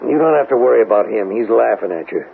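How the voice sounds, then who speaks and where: An exasperated police lieutenant in a vintage radio play. His gravelly, animated voice scolds with emphatic, weary impatience. The recording is narrow and phone-like, with faint hiss. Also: fairly low pitch, fast. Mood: weary, impatient. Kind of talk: 1970s radio drama acting.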